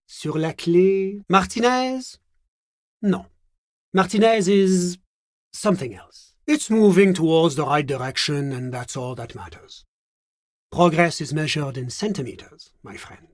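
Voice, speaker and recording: An actor playing a smug, genial bureaucrat in a video game, speaking with a French accent in a suave, measured, politely condescending manner. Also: male voice, on the high side, no room sound, studio quality. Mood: genial, coaxing. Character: smug, genial male bureaucrat, unflappable moralist technocrat. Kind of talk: video game character dialogue.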